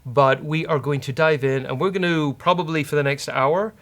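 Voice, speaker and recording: A man with an Irish accent hosting a casual live-coding demo, dry, teasing and halting. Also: fairly high pitch, fairly even intonation, fairly bright tone. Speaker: host, software developer.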